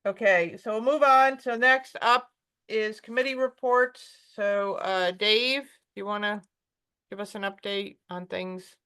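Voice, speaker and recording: A woman chairing a virtual commission meeting, brisk and procedural, with some fillers. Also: medium pitch, fairly even intonation, sharp tone, clear, resonant tone.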